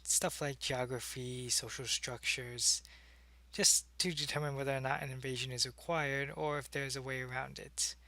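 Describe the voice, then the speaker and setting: A man's voice: mid-range voice, fairly even intonation, moderate pace, pushed and bright, dry recording. A man playing an earnest, secretive alien agent in an ASMR roleplay, talking to a human in a hesitant, explanatory way. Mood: hesitant, confessing. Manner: hesitant, explanatory, intimate one-sided conversation. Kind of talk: ASMR roleplay, acted monologue to the listener.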